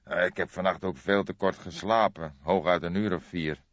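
A man playing a calm, weary old police detective with measured speech in audiobook dialogue. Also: low voice, close to an everyday voice. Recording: dull lo-fi, boxy roomy sound.

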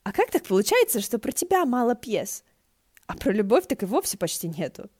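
A woman voicing an indignant, excitable young male wind deity with a theatrical, exclamatory manner in a storybook reading. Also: on the high side, a little fast, breathy edge. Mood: teasing.

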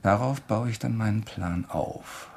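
A gravelly, insinuating writer-sleuth. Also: male voice, on the low side, darkish tone. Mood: insinuating, intense. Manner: deliberate, polite, provoking. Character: male writer, probing and coolly insinuating murder theorist. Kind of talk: German crime radio play acting.

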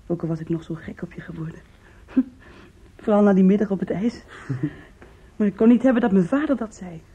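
An actress playing an anguished young woman in a Dutch radio play, confiding in her lover with halting, intimate emotion. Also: on the low side, dark tone, breathy edge. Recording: dull, muffled old radio recording.

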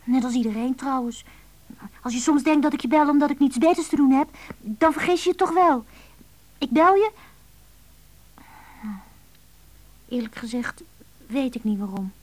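A high-pitched teenage schoolgirl, confiding and impulsive. She swings between defiance and tenderness in intimate radio-play phone monologues, lonely underneath it all. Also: lively intonation, normal speed, no echo. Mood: wistful, defensive. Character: lonely, moody seventeen-year-old schoolgirl confiding by phone.

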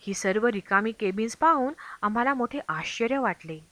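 A woman narrates a written travel memoir for an audiobook. Her voice sits somewhat high and has a clearly bright edge. The sound is dry and close, with very little room around it. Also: animated, normal speed, no echo. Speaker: audiobook reader.

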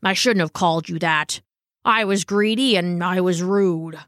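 A woman's voice: on the low side, unhurried, no room sound, studio quality, voice pushed down, close to an everyday voice. A woman voicing a humble, earnest old male dog in a storybook, speaking hesitantly and sincerely. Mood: sheepish. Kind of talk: storybook character voice.

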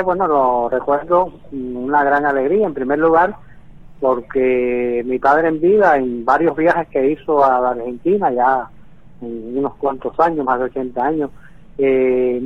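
A man in his sixties, born in Venezuela, speaking by phone from Havana in a measured way with frequent hesitations. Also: medium pitch, dry recording. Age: 60s. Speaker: guest. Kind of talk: phone interview. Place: in Havana, Cuba. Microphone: telephone. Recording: tinny phone line.

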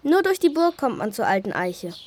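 High-pitched bold youngster. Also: female voice, big pitch swings, moderate pace, a little mellow. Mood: curious, bold. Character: bold, practical young child. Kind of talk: children's radio play acting.

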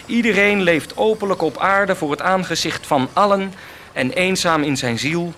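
A stern rebel prophet of the peasants in a radio play. His voice is forceful and sharp, fervent and preaching, never yielding. Noise can be heard behind him. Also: high-pitched, moderate pace. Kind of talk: theatrical radio drama acting.

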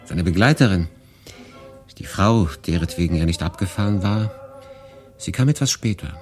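A man acting a guarded, quietly jealous refugee who recounts his exile in a German radio drama. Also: low voice, lively intonation, moderate pace, soft background music. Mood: brooding.

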